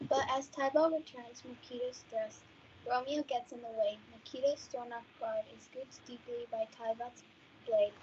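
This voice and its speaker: A woman narrates a stage play reading in a fairly high voice. The recording is dull, with faint noise. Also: steady tone, normal speed, darkish tone. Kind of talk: scripted narration for student readers theatre. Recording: muffled, faintly noisy home recording.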